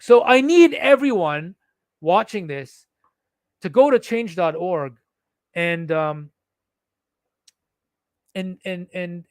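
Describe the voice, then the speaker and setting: A man's voice: high-pitched, no echo, studio quality. A man from the Philippines who speaks with a Singaporean English accent, ranting indignantly to his viewers and often restarting his sentences. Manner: indignant, heated, with frequent restarts. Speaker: vlogger. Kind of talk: ranting monologue to camera.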